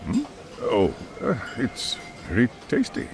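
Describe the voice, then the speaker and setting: An actor playing a mild, measured school chairman in a video game, with formal, deliberate phrasing. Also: medium pitch, wildly swooping pitch, creaky, background noise, heavily acted. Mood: hesitant, polite. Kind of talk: video game cutscene voice acting. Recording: music behind the voice.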